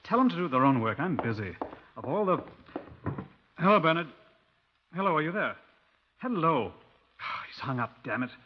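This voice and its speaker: A man acting a gruff, impatient London police superintendent in a radio drama, with a clipped, formal British delivery. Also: fairly high pitch, sing-song, normal speed, pauses often, noisy background. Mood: impatient, irritated. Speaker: narrator and lead actor. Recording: muffled old 1950s radio recording.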